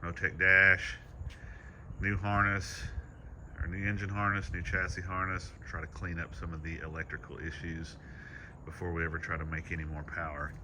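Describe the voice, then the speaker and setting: A man with a stuffy, congested nose, giving a casual, easygoing walkthrough outdoors on a rural property. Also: deep voice. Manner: easygoing, matter-of-fact, rambling. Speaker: vlogger. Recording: outdoor noise behind the voice.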